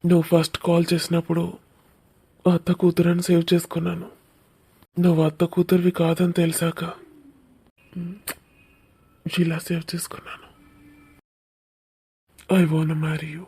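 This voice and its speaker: Woman voicing a husky, gentle young man. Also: on the low side, monotone, unhurried, long pauses. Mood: tender, lovestruck. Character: caring, lovestruck young man, gentle and polite. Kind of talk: cartoon romance dialogue.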